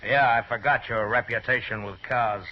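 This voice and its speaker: A man's voice: medium pitch, fairly even intonation, moderate pace, a little hiss or hum, close to an everyday voice. An actor playing a stern, hard-bitten US marshal, terse and grim, in an old radio western that he also narrates. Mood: stern, commanding. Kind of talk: old-time radio western acting and first-person narration. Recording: muffled vintage radio recording.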